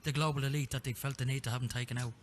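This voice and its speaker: Brisk, quick-talking male radio host. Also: medium pitch, level tone, a little hiss or hum. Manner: brisk, with fillers and restarts. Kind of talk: radio interview.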